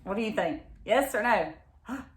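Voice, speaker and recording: A woman's voice: mid-range voice, animated, moderate pace, echoey room. A woman reading a children's picture book aloud, expressively, with exclamations and chatty questions to her listeners. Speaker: read-aloud storyteller.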